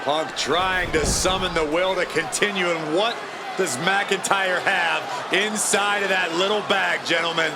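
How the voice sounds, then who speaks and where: A man doing excited, opinionated colour commentary on wrestling in an emphatic way. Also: high-pitched, measured pace. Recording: loud arena crowd and noise behind voice. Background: roaring crowd and impact slams.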